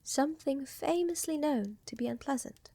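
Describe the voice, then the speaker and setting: A woman playing a seductive, tender vampire countess in a soft, intimate roleplay ASMR style, often dropping to a whisper, with a teasing, assured manner and formal wording. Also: high-pitched, expressive, normal speed, no echo. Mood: calm, ominous. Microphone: close microphone, dry.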